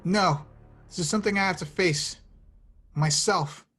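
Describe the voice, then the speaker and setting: Man playing husky, easygoing young male hero. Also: sits high, a little slow, fairly bright tone, faint music behind. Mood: resolute. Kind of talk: table read of a film script.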